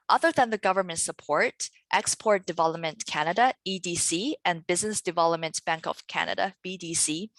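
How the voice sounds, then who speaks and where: Bright, dry-sounding woman presenting. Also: on the low side, no echo. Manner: careful, halting delivery with um fillers and restarts. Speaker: trade presenter. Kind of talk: webinar presentation, read from slides.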